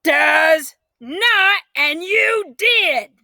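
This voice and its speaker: A woman's voice: very high voice, expressive, very slow, creaky, pushed and bright, no room sound, pitched above the performer's own voice, heavily acted. A woman voicing a hot-tempered, indignant country girl from a children's storybook, with folksy, dramatic delivery. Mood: furious. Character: feisty, hot-tempered country girl who vows revenge.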